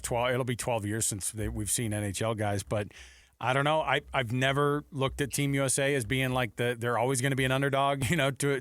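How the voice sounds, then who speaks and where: A man's voice: mid-range voice, fairly bright tone, no room sound, clean studio sound. A man hosting a casual sports talk show in an informal, chummy way. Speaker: sports radio host. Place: radio studio.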